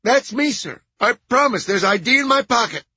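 A man voicing a shaken, apologetic middle-aged caretaker in an audiobook, with a high, nasal voice and nervous, pleading delivery. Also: lively intonation, normal speed, bright, forceful tone, slightly rough, muffled recording, full character voice. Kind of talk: audiobook character voice.

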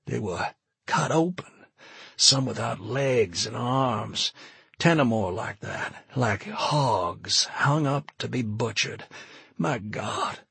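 Male narrator as traumatized old-war pilot, husky and rough, agitated, anguished, audiobook drama, close dry sound. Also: medium pitch, moderate pace, fairly bright tone, dry recording, voice pushed up. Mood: anguished, horrified. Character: shaken male pilot, traumatized war veteran recounting horrors.

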